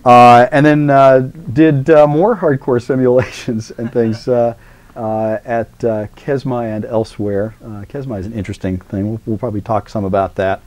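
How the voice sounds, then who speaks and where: A man's voice: medium pitch, steady tone, normal speed, darkish tone. A middle-aged man introducing a guest in a university lecture, chatty and rambling, full of filler words and self-mocking asides. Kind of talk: live classroom lecture introduction.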